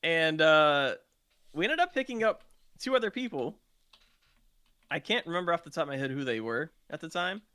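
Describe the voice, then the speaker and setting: Higher pitched guy, bright voice, dry sound, rambling casual stories. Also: expressive, normal speed, long pauses, smooth, no echo. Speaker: podcast participant, game roleplay streamer. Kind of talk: casual storytelling chat.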